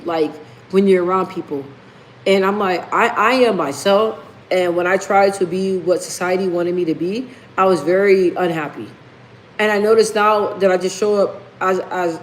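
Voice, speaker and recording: A woman's voice: fairly low pitch, a little hiss or hum, rough recording. A woman hosting a casual live stream, talking emphatically, repeating key phrases and reading viewer comments aloud. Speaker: livestream host.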